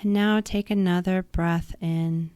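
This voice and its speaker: A woman guiding a yoga nidra relaxation practice in a calm, soothing, instructive manner. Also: fairly low pitch, measured pace, no echo. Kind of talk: guided meditation.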